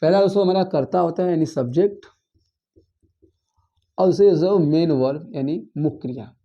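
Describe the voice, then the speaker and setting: A man teaches a grammar lesson. His voice sits high, but its tone is soft and mellow. He keeps calling his listeners friends, asking questions and answering them, and repeating his points as he goes. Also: a little fast, muffled recording. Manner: counts words aloud, repeats and rephrases points. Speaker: school English teacher.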